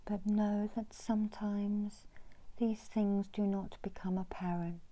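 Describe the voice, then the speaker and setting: A middle-aged woman softly whispers an ASMR guided meditation in a breathy, soothing, deliberate way with long pauses. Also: medium pitch, level tone. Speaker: reiki healer guiding a meditation. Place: indoors, small room.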